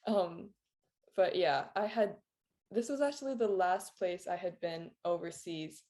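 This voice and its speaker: A teenage girl talks easily in a casual interview, with little laughs slipping in and "like" filling her sentences. Her voice sits a bit low and sounds soft and mellow, without any edge. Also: a little slow. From Philippines. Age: teens. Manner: chatty, laughs often, many "like" fillers. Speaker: interview guest. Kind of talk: casual chat.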